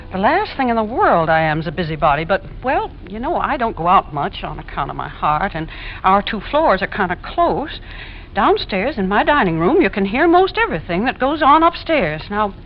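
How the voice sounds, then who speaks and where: A woman's voice: mid-range voice, lightly acted. An actress playing a nosy, chatty, suspicious neighbor landlady in a gossipy, rambling 1940s radio suspense style. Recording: dull, hissy 1940s radio recording.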